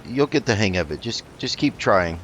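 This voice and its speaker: A man hosting a roleplay gaming video, talking in a measured, halting way as he plays and narrates. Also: lowish voice, lively intonation, a bit bright, a little hiss or hum. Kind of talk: roleplay let's play commentary.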